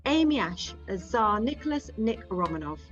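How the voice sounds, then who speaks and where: Female radio drama announcer: scripted credits read, mid pitch, music underneath. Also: very animated, moderate pace. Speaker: narrator. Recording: dull sound with music behind.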